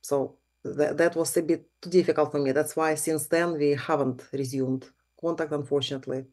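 A woman's voice: sits low, steady tone, moderate pace, a little mellow. A middle-aged woman from Ukraine, a native Russian speaker with a Ukrainian accent, speaking earnestly and reflectively as an interview guest. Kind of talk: conversational interview.

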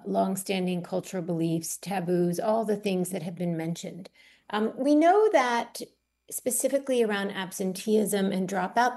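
A woman's voice: medium pitch, a little mellow. A woman professor answers an interview question in an articulate, explanatory way.

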